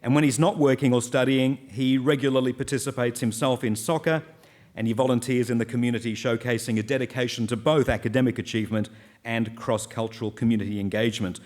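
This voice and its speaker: A man's voice: mid-range voice, steady tone, fast, a little mellow. A man hosting a conference on stage, introducing speakers in an informal, live presenting style.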